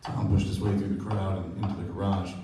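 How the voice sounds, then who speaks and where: Man playing low, mellow radio play narrator. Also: level tone, a little fast, lightly acted. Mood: somber. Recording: echoey, noisy stage recording from far off.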